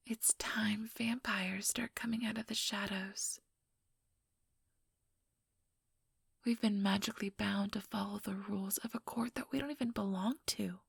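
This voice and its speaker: A woman's voice: mid-range voice, no room sound. A woman playing an ambitious, coldly menacing female vampire in a whispered ASMR role-play, talking in a taunting, mock-sweet way close to the microphone. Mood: resolute. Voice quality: soft, breathy whisper. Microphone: close, dry microphone.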